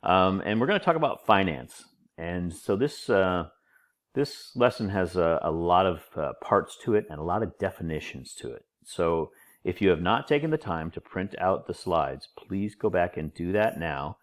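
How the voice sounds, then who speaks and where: A man's voice: low-pitched, animated, normal speed. A middle-aged man, a college instructor, giving a recorded online lecture over slides, a little nasal from a cold, informal and chatty with joking asides.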